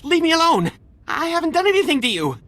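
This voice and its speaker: An actor playing a timid, panicky accountant on the run in a video game, shaken, breathless and stammering. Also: male voice, very high-pitched, sing-song, fast, sharp tone, a little hiss or hum, exaggerated voice. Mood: terrified. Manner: nervous, stammering. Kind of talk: video game cutscene acting.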